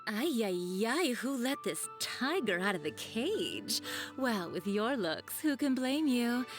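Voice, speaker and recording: A woman's voice: on the high side, big pitch swings, slow pace. A woman playing a flirtatious, self-assured perfume maker in a video game, with a coy, teasing, theatrical delivery. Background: video game music.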